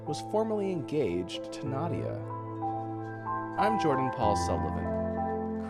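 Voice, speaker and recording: A man presenting a scripted series recap, announcing it in a measured way. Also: medium pitch. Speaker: creative director and series presenter.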